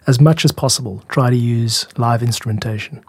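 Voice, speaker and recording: A man hosting a podcast about making music, explaining things in a measured, candid, self-critical way. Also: mid-range voice, vocal fry, husky, no room sound. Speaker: music podcast host and composer.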